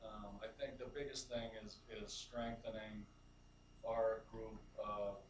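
A man, a math teacher, presents slides to a school board in a halting way, with many fillers and restarts. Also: fairly low pitch, little pitch movement. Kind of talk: slide presentation at a public meeting. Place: large school library meeting room. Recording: echoey room from far off with background noise.

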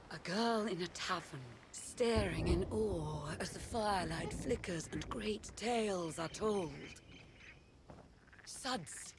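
An actress playing a solemn, mysterious fortune teller in a video game, speaking with reverent, dramatic pauses. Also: female voice, medium pitch, noisy background, lightly acted. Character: mysterious solemn female fortune teller reading visions from skulls. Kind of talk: theatrical video game character acting. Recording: loud music under the voice.

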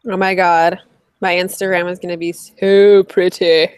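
A woman's voice: lowish voice, little pitch movement, measured pace, darkish tone, smooth. A woman co-hosting an online chat, reacting with enthusiastic, gushing remarks. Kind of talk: casual chat.

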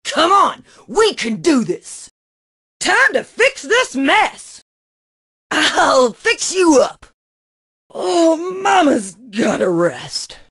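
A brash, motherly scrapyard mechanic from a video game, tough and bossy. She delivers her one-liners slowly with a gravelly rasp, swinging high and low in a lively, high voice. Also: exaggerated voice.

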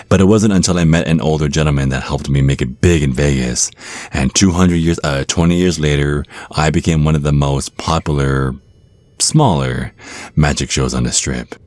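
A man's voice: extremely low pitch, a little mellow, no room sound. A man voicing a suave, theatrical vampire stage magician, teasing and pompous, in an ASMR roleplay.

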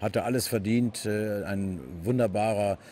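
A man's voice: lowish voice, steady tone, unhurried, dark tone, slight background noise. A male singer giving a rambling video greeting with many fillers. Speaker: fellow singer. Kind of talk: video tribute message.

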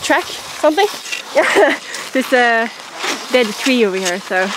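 A young woman with a Swedish accent, chatting casually and giggling in slightly halting English while skiing through a snowy forest, filming herself on a pole-held camera. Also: on the high side, lively intonation. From southern Sweden. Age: young adult. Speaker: vlogger. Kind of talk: casual vlog while cross-country skiing. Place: snowy forest trail outdoors. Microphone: camera on a ski pole at arm's length. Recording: outdoor wind and pole scratch noise.

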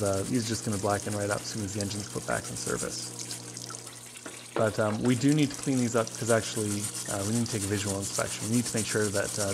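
A man's voice: fairly low pitch, noisy background. A man giving a casual, matter-of-fact instructional voice-over as a hands-on mechanic.

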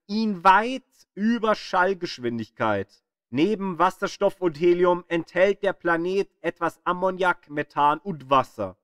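A man reading scripted educational narration in a deliberate, explanatory manner, as the narrator of an audio guide. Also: on the high side, a bit bright, clear, resonant tone, no echo, studio quality. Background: music behind the voice.

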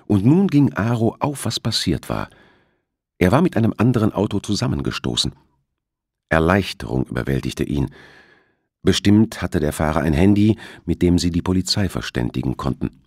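Very deep, husky male narrator. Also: sing-song, normal speed, darkish tone, no room sound, studio quality. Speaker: audiobook narrator. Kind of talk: scripted thriller novel narration.

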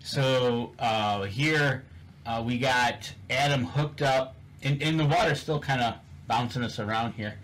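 A man's voice: medium pitch, measured pace, fairly bright tone, rough recording. Rambling man recounting a fishing trip. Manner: rambling, many fillers and repeats. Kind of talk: casual chat over photos.

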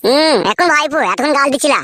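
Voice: high voice, fast, sharp tone. A performer voicing a pleading, sulky grown village son in a comedy cartoon, with a sheepish manner.

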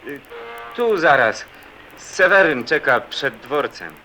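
A man's voice: medium pitch, expressive, slow pace, bright, forceful tone, faint music behind, low-quality recording. A man playing a curt, reluctant young city lad in a radio drama, evasive in his answers. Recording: faint city street noise.

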